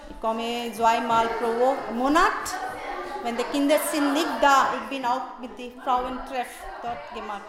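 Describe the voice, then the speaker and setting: A woman's voice: on the high side, fairly even intonation, a little slow. A woman who works as a childminder, talking in short, broken sentences. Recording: echoey room with crowd chatter.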